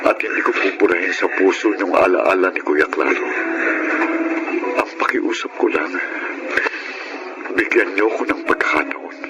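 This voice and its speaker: Actor as a low-voiced remorseful father over music. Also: normal speed, long pauses, natural voice, low-quality recording. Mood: remorseful, tender. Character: remorseful, patient father narrating his life story. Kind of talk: Filipino radio drama acting.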